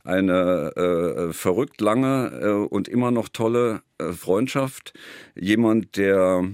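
Low-voiced older Saarland man, halting. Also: darkish tone, clean tone, dry recording, clean studio sound. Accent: Saarland German. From Saarland, Germany. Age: 60s. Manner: hesitant, many fillers, chuckling asides. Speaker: author, interview guest. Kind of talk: radio interview.

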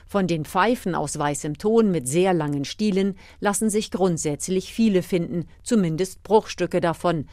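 A woman's voice: lowish voice, normal speed, hardly any pauses, darkish tone, no echo, clean studio sound. A woman reading scripted documentary narration as the reporter of a radio feature.

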